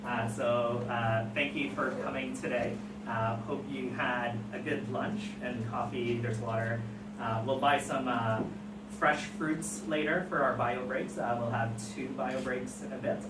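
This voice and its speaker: An event host with a halting, informal way of speaking, making announcements to open a gathering. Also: medium pitch, fairly even intonation, loud music behind the voice. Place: indoor event room, recorded from afar. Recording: echoey distant room recording, loud noise.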